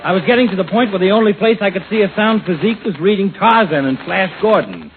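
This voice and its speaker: Hearty sardonic doctor, wordy, high voice, 1940s radio comedy, narrow-band noisy recording. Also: male voice, animated, fast. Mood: gruff, dry. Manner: wordy, wisecracking. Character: hearty, sardonic, grumbling small-town doctor.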